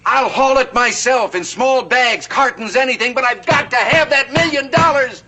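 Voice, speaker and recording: A wry, scheming banker with a bright, forward voice, in a 1950s western. He is dry and persuasive, and faint music plays under him on the old TV soundtrack. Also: very high voice, moderate pace, exaggerated voice. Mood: urgent. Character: smooth, scheming male banker, adult.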